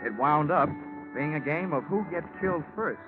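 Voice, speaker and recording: A man's voice: on the high side, animated, moderate pace, over music, lightly acted. A man with an American accent playing a wry, cocky insurance investigator in a 1940s radio detective drama, wisecracking in a dry, clipped way. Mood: wry storytelling. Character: wry, hard-boiled freelance insurance investigator, cocky and slangy. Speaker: lead actor and first-person narrator. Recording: tinny, band-limited 1949 radio recording.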